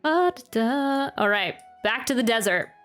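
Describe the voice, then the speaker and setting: A young woman hosting a game livestream in a fairly high voice. She chats casually with viewers in a friendly, giggly and apologetic manner, with faint music in the background. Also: expressive, measured pace, fairly bright tone.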